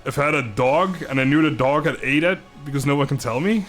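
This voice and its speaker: A man's voice: on the high side, fast talker, a bit bright. Young dutch guy on stream, chatty, laughs a lot, trips over his words, a bit of music behind. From Netherlands. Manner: chatty, laughs often, stumbling restarts, swears casually. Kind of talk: casual live-stream chat reading chat.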